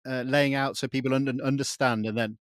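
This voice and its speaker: Dry, close-sounding male interviewer, mid-pitched. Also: steady tone, a little fast, dry recording. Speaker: interviewer, host. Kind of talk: casual conversational interviewing.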